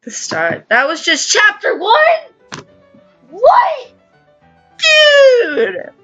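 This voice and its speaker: A woman's voice: very high-pitched, huge pitch swings, drawn-out words, pushed and bright, faint music behind. A woman hosting a gaming channel, reading a visual novel aloud and adding emotional commentary. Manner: emotional, exclaiming reactions.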